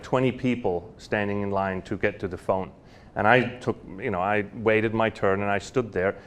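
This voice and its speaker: A man, telling personal stories at a university talk, chatty and full of fillers and restarts. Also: fairly low pitch. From Switzerland. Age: 40s. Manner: warm anecdotal storytelling with frequent fillers and restarts. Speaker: guest speaker. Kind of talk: storytelling lecture. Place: university lecture hall.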